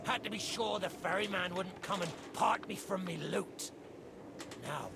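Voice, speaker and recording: Roguish old skull, gravelly, high-pitched, greedy, theatrical video game delivery. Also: male voice, background noise. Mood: storytelling, gloating. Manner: theatrical storytelling, archaic pirate dialect. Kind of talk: video game character acting.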